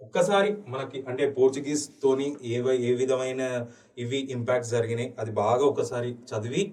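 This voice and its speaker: A man's voice: mid-range voice, darkish tone. Echoey Telugu-accented Indian man teaching. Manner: switches between Telugu and English, slow deliberate English. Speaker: exam coaching history teacher. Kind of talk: lecture walking through exam questions. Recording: echoey room, distant mic.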